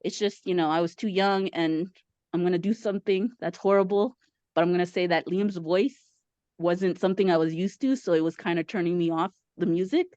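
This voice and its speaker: A woman's voice: lowish voice, a little fast, a little mellow, dry recording. Chatty Mexican-born woman on a muffled video call. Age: 30s. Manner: eager, chatty, many fillers. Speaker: caller. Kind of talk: casual chat.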